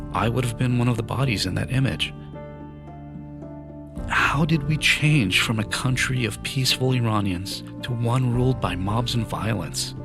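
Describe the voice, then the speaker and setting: A man in his fifties whose first language is Farsi narrates his life story from a script, earnest and measured. His voice is mid-pitched with a gravelly, creaky edge. Faint music plays quietly behind him. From Iran.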